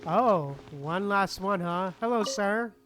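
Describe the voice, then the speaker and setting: A woman's voice: mid-range voice, animated, slow, over music. A woman streaming a game with casual, musing, sweary commentary, reading game text aloud.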